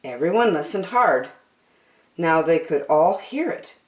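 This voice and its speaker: A woman reading a children's story aloud expressively, with deliberate, dramatic phrasing. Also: deep voice, expressive, darkish tone, roomy sound.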